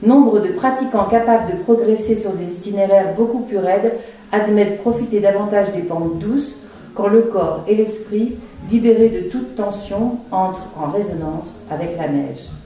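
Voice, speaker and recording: A woman moderating a panel evening, reading a book passage aloud in a measured way. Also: medium pitch, warm tone. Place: small room in a bookshop. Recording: very echoey, far from the mic.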